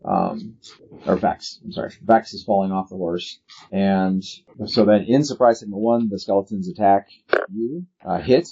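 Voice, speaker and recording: A man with a husky voice narrating a tabletop game as game master, explaining things with hesitant pauses. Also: on the low side, warm tone. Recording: muffled lo-fi recording.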